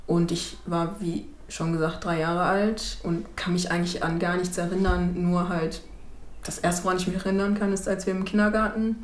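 A young woman in her twenties talking in a documentary interview, thoughtful and hesitant, often pausing to rephrase. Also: lowish voice, flat delivery, echoey room. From Germany. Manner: thoughtful, hesitant, many fillers and restarts. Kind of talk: reflective documentary testimony. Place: small room indoors and street outdoors.

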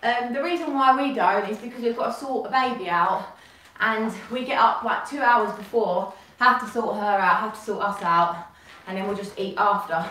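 A woman's voice: medium pitch, normal speed, sharp tone. A young woman fitness instructor, chatty and upbeat, cueing a workout while moving, recorded by a distant camera in a small echoey studio. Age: young adult. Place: small bright studio room. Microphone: far camera.

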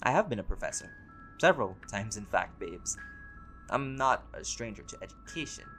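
A man acts out a laid-back male vampire who has lived for centuries, in an intimate one-sided audio roleplay. His voice sits fairly low and he chats with easy, scholarly wit and a playful teasing edge. Music plays clearly behind him. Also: normal speed, close to an everyday voice. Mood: easygoing, matter-of-fact. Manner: relaxed, conversational, wryly playful. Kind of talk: conversational audio roleplay to an unseen listener.

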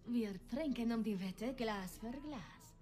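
A woman voicing a friendly, sociable female sailor in a dubbed video game, speaking in a warm, hearty manner. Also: fairly high pitch, slow pace, soft background music. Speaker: game character.

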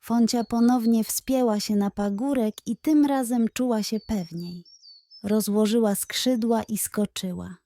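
Female narrator for children's bedtime tale. Unhurried delivery, higher register, close dry recording. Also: mellow, no echo. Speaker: storyteller.

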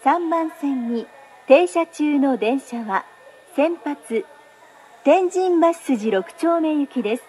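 A woman's voice: sits high, lively intonation, takes it slowly. A woman making formal, carefully enunciated recorded train platform announcements as a station announcer.

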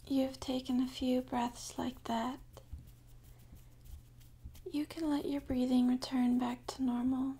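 A woman speaking softly and half-whispering in a gentle, soothing, unhurried way, as an ASMR guide leading a sleep meditation. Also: high voice. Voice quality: soft, breathy, half-whispered.

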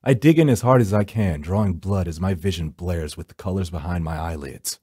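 Low, dark-voiced man narrating horror. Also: fairly quick, slightly rough, no room sound, studio quality. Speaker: narrator of a visual novel. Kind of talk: first-person dramatic horror narration.

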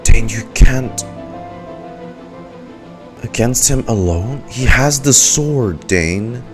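A man's voice: mid-range voice, expressive, drawn-out words. A young man giving live, informal and exclamatory gameplay reaction commentary as a streamer at home. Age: young adult. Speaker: game streamer. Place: indoor room at home. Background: game music.

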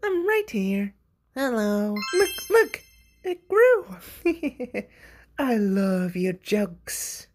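A woman's voice: a little high, very animated, extremely slow, long pauses, soft background music, heavily acted. A woman voicing playful, sweet young characters in an amateur cartoon comic dub. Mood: excited.

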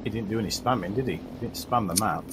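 A man's voice: lowish voice, fast, noisy background. A man chatting and laughing with teammates while playing a tank game, with game sounds behind him. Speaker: teammate on voice chat. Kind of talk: casual chat while gaming.

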